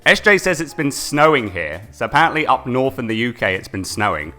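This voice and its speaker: A young British man co-hosting a casual live gaming stream, chatty and quick to laugh, often repeating words. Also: medium pitch, big pitch swings, pushed and bright. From United Kingdom. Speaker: co-host.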